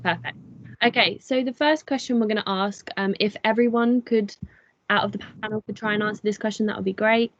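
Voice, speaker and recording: A woman's voice: mid-range voice, fairly even intonation, a little fast, dry recording, muffled recording. A young woman facilitating a panel discussion, polite and a little hesitant, with plenty of fillers. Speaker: student facilitator. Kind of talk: moderating a panel.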